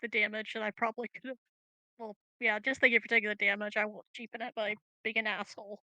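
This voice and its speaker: A woman's voice: medium pitch, flat delivery, quick pace, bright, forceful tone, dry recording. A woman chatting casually as a player in a tabletop game.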